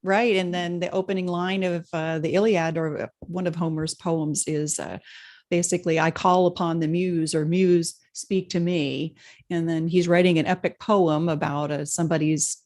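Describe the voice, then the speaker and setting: A woman's voice: lowish voice, fairly even intonation, normal speed, darkish tone. A woman co-hosting a casual spiritual chat, hesitant, with many fillers, stumbling over names. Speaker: podcast co-host.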